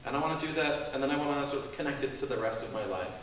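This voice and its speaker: A man speaking informally as a panelist, halting and thinking aloud in short pieces. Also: mid-range voice, expressive, a bit bright. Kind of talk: informal, unscripted talk to an audience. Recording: very echoey hall, distant mic, noisy.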